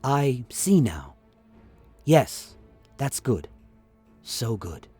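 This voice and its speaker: A man's voice: sing-song, mellow, husky, full character voice. A man portrays a mad exiled prince in a fantasy radio play. He is sinister and smirking, drawing out conspiratorial lines, with music in the background. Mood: entranced. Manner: low, conspiratorial, drawn-out.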